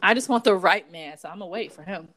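A woman in her thirties co-hosts a relaxed podcast conversation with a friend. Her talk is casual and emphatic, and she breaks into laughter often. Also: lowish voice, quick pace. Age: 30s. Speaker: podcast co-host. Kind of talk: casual chat.

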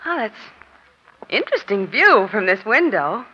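A woman's voice: medium pitch, sing-song, measured pace, slightly rough. A woman playing a savvy, chatty American newspaper reporter who feigns casual innocence in a 1940s radio crime drama. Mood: politely sympathetic. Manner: breezy, chatty, slyly casual. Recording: dull, lo-fi old radio recording.

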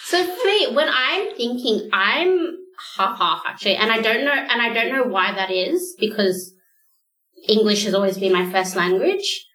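A woman's voice: fairly high pitch, animated, moderate pace, sharp tone, reverberant, low-quality recording. A woman hosting a podcast, chatty and reflective in a casual, self-mocking way. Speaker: podcast host. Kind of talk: casual chat.

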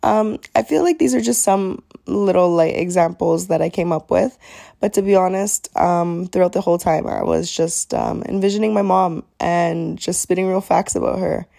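Low, gravelly young woman podcaster. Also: normal speed, warm tone. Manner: sincere, chatty, with frequent fillers. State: slightly raspy voice. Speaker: podcast host. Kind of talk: solo podcast monologue.

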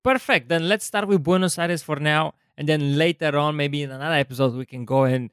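A man's voice: fairly high pitch, a little fast, a bit bright, smooth, no room sound, studio quality. A man from Bulgaria, hosting a casual interview over a video call and asking questions eagerly. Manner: eager, enthusiastic. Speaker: host.

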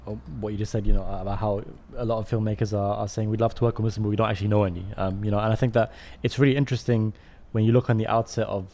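A man talks at a quick clip as a podcast co-host. His questions are casual and wordy, and he doubles back on words while building up to them. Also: on the low side, level tone, mellow. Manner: wordy, halting with repeated words.

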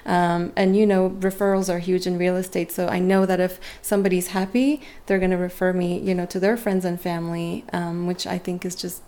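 A woman's voice: fairly low pitch, flat delivery, a little fast, few pauses, dark tone. A woman in her forties, a native French speaker, talking earnestly and reflectively as a guest in a conversational interview. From Lebanon and Montreal, Canada. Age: 40s. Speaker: interview guest, real estate agent. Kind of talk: conversational personal interview storytelling.

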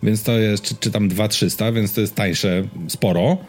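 A man in a casual tech and gaming chat, speaking haltingly and often stammering and restarting his words. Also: on the low side, steady tone, darkish tone. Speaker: podcast co-host.

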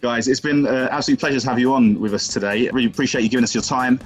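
A man hosting a podcast interview, asking quick questions politely and wrapping up. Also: mid-range voice, steady tone, slightly rough, faint music behind. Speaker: podcast host.

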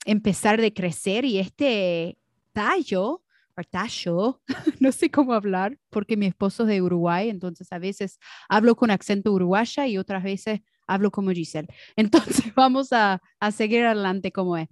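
A woman co-hosting a podcast in a relaxed, unhurried way, now and then slipping into English. Her voice sounds close and dry, with no room around it. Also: medium pitch, dry recording. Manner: relaxed, mixes in English words, laughs often. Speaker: podcast co-host. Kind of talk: casual chat.

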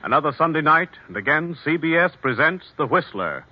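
Muffled vintage mystery programme announcer. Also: male voice, fairly high pitch, big pitch swings, a little fast, slightly rough. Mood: dramatic, proclaiming. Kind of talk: 1940s radio announcing. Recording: muffled old radio broadcast with organ music.

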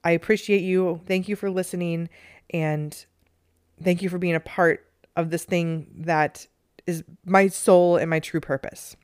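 A woman hosting her own meditation podcast, speaking in a low, soothing tone. She talks in a gentle, earnest way. The close mic picks up no room sound at all. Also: fairly even intonation, a little slow, smooth, dry recording. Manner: gentle and earnest, with light laughs. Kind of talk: reflective solo podcast talk.

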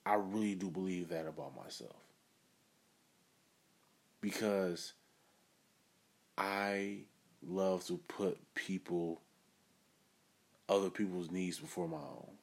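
Deep-voiced man preaching self-motivation. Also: steady tone, takes it slowly, long pauses. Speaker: podcast host. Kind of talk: solo podcast monologue.

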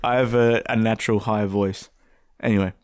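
A man's voice: fairly low pitch, steady tone, normal speed, darkish tone, clean tone. Laughing podcast co-host man. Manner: joking, laughs often, rambling restarts. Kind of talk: casual banter.

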